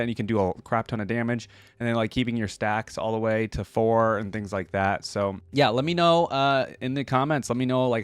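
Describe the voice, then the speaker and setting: A man's voice: mid-range voice, clear, resonant tone, no room sound. A man giving casual, enthusiastic reaction commentary in an informal, slangy way, as a video host. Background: music from the videos being watched.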